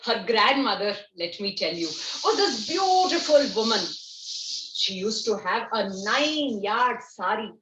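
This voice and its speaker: An Indian-accented woman tells folktales in a slightly high voice, shaping her phrases with care and expression. The sound is clearly echoey and a little dull, as from a video call microphone in a room. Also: a bit bright. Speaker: storyteller. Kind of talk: live oral folktale storytelling. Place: indoors on a Zoom video call.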